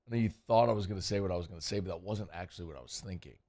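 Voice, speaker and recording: A man's voice: deep voice, racing, dark tone. A man hosting casual sports talk radio, chatty and rambling, his voice worn and strained. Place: radio studio.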